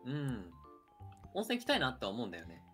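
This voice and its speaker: A young man co-hosts a casual radio talk. He is quick to agree, and his pitch swings expressively as he chats. Quiet music plays behind his voice. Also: huge pitch swings. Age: young adult. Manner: agreeable, frequent backchannels. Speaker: radio show co-host. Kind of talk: casual chat. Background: background music.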